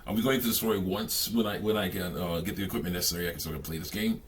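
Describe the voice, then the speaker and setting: A man giving casual, off-the-cuff reaction commentary on a game, talking in a halting, rambling way with frequent hums and chuckles. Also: deep voice, slight background noise.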